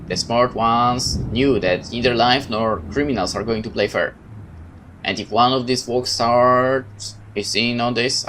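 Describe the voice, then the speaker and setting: A man reads a horror game's story aloud in a medium-pitched voice. He narrates in the first person with dramatic expression, slipping into casual asides. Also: fairly bright tone. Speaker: let's player reading a game aloud.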